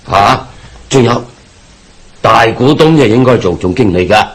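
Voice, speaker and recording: A man's voice: mid-range voice, animated, a little slow. An actor playing a frightened, guilt-ridden businessman uncle in a Hong Kong Cantonese radio drama, stammering and repeating himself nervously. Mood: placating, nervous. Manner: nervous stammering, anxious repetition.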